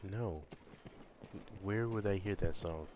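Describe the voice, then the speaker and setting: A man with a low voice gives casual commentary as he streams a game. His talk is offhand, full of mild slang. Clear noise from the game sits behind him. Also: slow. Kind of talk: casual live gameplay commentary.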